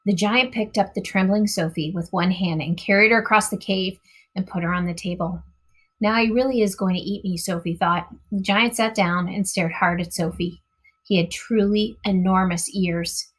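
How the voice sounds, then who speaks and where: A woman reading a storybook aloud to children in an expressive narrating style. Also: on the low side, normal speed, breathy edge. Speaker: storybook reader.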